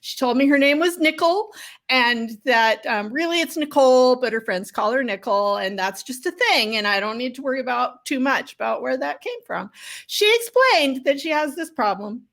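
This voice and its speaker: A woman author being interviewed, chatting casually and telling stories in an excitable, upbeat way. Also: sits high, normal speed. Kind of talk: casual storytelling chat.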